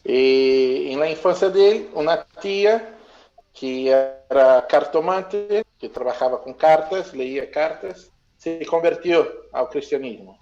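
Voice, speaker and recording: A man interpreting in consecutive fashion, mixing two languages with a halting manner. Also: fairly high pitch, darkish tone, lo-fi sound, soft background music. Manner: mixes Spanish and Portuguese, halting.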